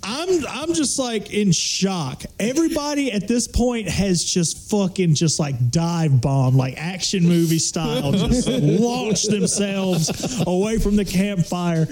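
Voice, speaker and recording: A man chatting casually and telling stories on a podcast, with slangy, profane, animated delivery. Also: sits high, slow, hardly any pauses. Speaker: podcast crew member. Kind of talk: casual comedic storytelling chat.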